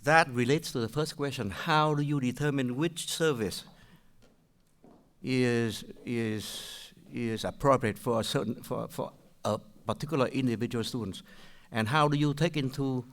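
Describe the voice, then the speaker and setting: An older man from Vietnam with a Vietnamese accent, asking questions at a public meeting in a halting way, with many restarts. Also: medium pitch. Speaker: public commenter.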